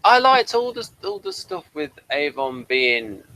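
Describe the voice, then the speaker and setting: Male podcast reviewer, swooping expressive delivery, dry close recording, casual and animated. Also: on the high side, unhurried, dry recording, low-quality recording.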